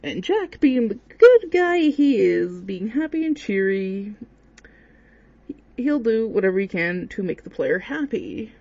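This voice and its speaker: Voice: medium pitch, big pitch swings, measured pace, a little mellow. A person giving casual, hesitant, rambling commentary on horror games in an informal way. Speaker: video creator, commentator. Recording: dull lo-fi microphone sound.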